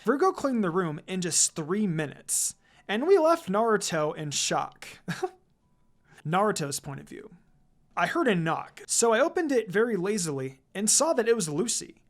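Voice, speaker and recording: A woman reading a first-person fan fiction story aloud in a casual, expressive, dramatized way. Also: mid-range voice, measured pace.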